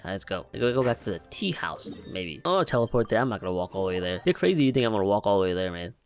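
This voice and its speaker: A man's voice: fairly low pitch, big pitch swings, very fast, faint music behind. A young man giving casual let's play commentary in a joking, slangy, informal way. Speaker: gaming reaction YouTuber.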